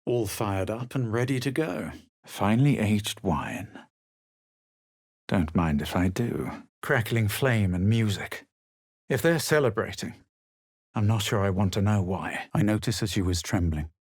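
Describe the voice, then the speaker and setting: An actor playing a haughty, self-assured adventurer in a fantasy video game, with a measured, dramatic and superior delivery. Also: male voice, lowish voice, animated, no echo, studio quality. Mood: narrating, solemn. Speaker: voice actor of a player character. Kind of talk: scripted video game character lines and narration.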